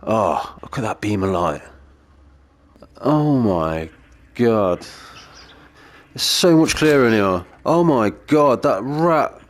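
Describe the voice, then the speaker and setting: Brief: British male gamer, slightly low voice, stuffy from a cold, eager and chatty. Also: expressive, slow, long pauses. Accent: British English. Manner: enthusiastic, chatty, gushing over detail. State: congested from a cold. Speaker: gaming video creator. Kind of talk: gameplay commentary.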